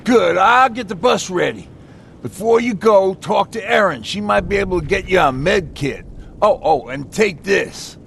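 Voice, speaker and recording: A man's voice: moderate pace, vocal fry, a bit bright. An actor playing a hesitant, conscientious male survivor in a video game cutscene. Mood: encouraged. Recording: faint rumbling noise behind.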